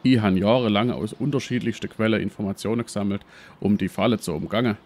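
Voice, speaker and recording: Guy doing a swabian treasure hunter professor, low voice, colloquial and full of himself, radio play parody. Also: steady tone, fast talker, a little mellow, natural voice. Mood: explaining. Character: brash, shady male history professor and treasure hunter.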